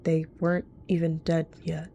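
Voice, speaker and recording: A woman's voice: low voice, completely flat delivery, moderate pace, vocal fry, warm tone, a little hiss or hum. A woman reading scripted story narration as a storyteller.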